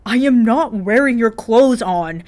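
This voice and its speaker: A grumpy teenage boy in a read-aloud story, acted by a woman. He is easily outraged and complains in exasperation, stretching his words out slowly. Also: on the high side, expressive, pitched above the performer's own voice. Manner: loud, exasperated, dragged-out complaining.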